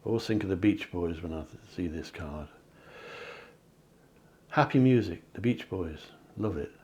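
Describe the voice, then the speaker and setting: A man, a psychic hosting a card reading, talking in a chatty, encouraging way that often corrects itself, his voice a little hoarse. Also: deep voice, animated, moderate pace, a little mellow. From England. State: slightly hoarse, clearing throat. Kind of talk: tarot-style card reading to camera. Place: home near the sea.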